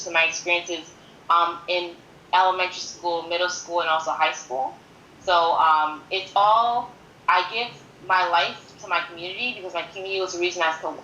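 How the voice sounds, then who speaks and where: A woman in her twenties gives an earnest, conversational account of herself as an interview guest. Her voice is slightly low and very bright, and she restates phrases to drive them home. The room echoes around her, with faint noise behind. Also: lo-fi sound. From born in Haiti, grew up in New York City from age five. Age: 20s. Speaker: podcast guest. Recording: roomy echo with faint background noise.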